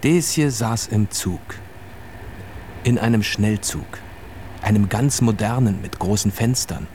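A man narrating a children's radio play as a storyteller, in deliberate short phrases. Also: on the low side, warm tone, soft background music. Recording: clear traffic and vehicle noise behind. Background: vehicle and outdoor ambience.

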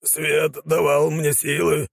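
A man voicing a fanatical, dim old warrior of light in an audiobook, chanting slogans in drawn-out shouts. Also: a little high, monotone, gravelly, dry recording, studio quality, voice pushed up, full character voice. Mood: zealous, raving.

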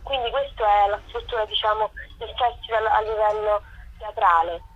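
A woman's voice: mid-range voice, steady tone, normal speed, slightly rough. A woman being interviewed on radio over the telephone, talking conversationally and searching a little for her words. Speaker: festival co-director, interview guest. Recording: dull, lo-fi telephone line.

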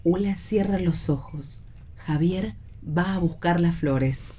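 A woman's voice: on the low side, slow pace, mellow, slight background noise. A woman reading stage directions as scripted narration for a play.

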